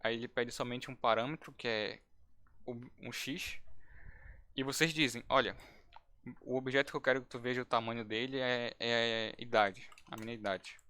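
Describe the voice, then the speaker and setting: Brief: male instructor, relaxed and chatty, restarts phrases while demonstrating code, typing heard behind. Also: medium pitch, moderate pace, clear, resonant tone. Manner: casual, thinking aloud with restarts. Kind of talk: live-streamed coding lesson.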